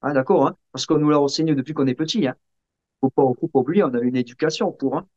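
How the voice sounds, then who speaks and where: Muffled recording of a higher-pitched man explaining. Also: a little mellow. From Sicilian family background. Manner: halting, checks in with the listener using tags. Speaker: interview guest. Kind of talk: casual explanatory chat. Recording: dull, muffled lo-fi sound.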